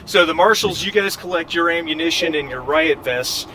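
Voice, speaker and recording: A man running a tabletop roleplaying game as game master, speaking in a halting, thinking-aloud way. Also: a little high, bright, forceful tone. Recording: clearly noisy background hum.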